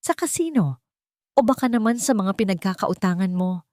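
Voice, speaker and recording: A woman's voice: medium pitch, lively intonation, moderate pace, a little mellow, dry recording, clean studio sound, voice pushed up, lightly acted. A woman storyteller voicing an indignant woman who confronts her partner with pressing questions in an audio drama. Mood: accusing.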